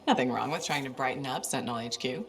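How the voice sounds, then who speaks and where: A woman's voice: very deep voice, fast talker, a bit bright. A woman playing a friendly freelance adventurer in a video game, casual and dryly teasing. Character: wry, friendly female freelance adventurer hero. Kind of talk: video game dialogue acting.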